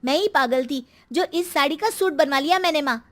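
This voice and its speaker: Woman playing a shrill-pitched spoiled college-age daughter. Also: a little fast, a bit bright. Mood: annoyed, mocking. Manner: complaining, mocking.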